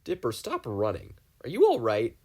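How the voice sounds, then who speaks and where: A man voicing a brisk, commanding older male scientist in a fan fiction audio reading. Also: mid-range voice, wildly swooping pitch, darkish tone, husky, full character voice. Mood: concerned.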